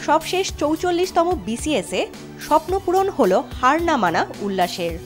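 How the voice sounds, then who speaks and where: A woman's voice: high voice, big pitch swings, moderate pace, loud music behind the voice. A Bangladeshi woman reporter reading scripted narration for a television news feature.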